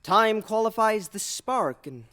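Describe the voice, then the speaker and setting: Young actor as a scheming, smooth-talking usurper king, slightly high-voiced. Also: animated, pushed and bright, full character voice. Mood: scheming, goading. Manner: deliberate, persuasive, theatrical. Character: scheming usurper king, smooth, manipulative middle-aged man. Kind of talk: Shakespearean audio drama acting.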